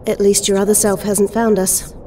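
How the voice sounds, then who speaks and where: A woman's voice: medium pitch, flat delivery, normal speed, mellow, distant mic, slight background noise, close to an everyday voice. A woman playing an anxious, plain-spoken young heroine in an audio drama. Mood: worried.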